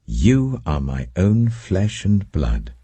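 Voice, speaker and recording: Guy doing a crafty old dad in a bible audiobook, dark husky voice, reasoning things out. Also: deep voice, big pitch swings, slow pace, no echo, exaggerated voice. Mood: welcoming. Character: Laban, older father, shrewd and smooth-talking.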